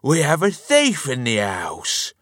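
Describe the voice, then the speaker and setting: Storybook husband, acted by a male reader: loud, bossy, foolish, blustering, with lively swings in pitch. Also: very slow, dry recording, clean studio sound, heavily acted. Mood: alarmed wailing. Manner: blustering, demanding.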